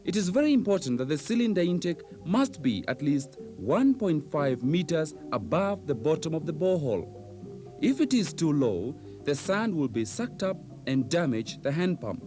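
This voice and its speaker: A man reading scripted instructional narration in a measured, precise, didactic manner, on an old VHS training film soundtrack. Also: fairly high pitch, sing-song, music in the background.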